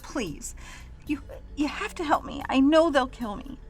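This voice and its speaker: A woman's voice: high voice, animated, measured pace, faint music behind. An actress playing a frightened young woman, a dancer, in a radio drama, plain-spoken and casual. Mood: scared pleading.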